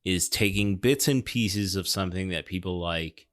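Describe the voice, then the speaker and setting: A man talks casually as a podcast commentator. His voice sits on the low side and sounds very clean and resonant. He develops his points thoughtfully, pausing and circling back as he goes. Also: fairly bright tone. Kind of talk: casual film discussion.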